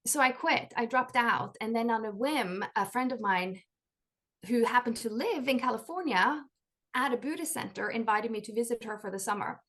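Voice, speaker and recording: Chatty Norwegian-born woman, higher voice. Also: expressive, normal speed, a bit bright. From Norway. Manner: chatty, many "like" fillers, laughs often. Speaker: guest, life coach and author. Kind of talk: casual interview storytelling.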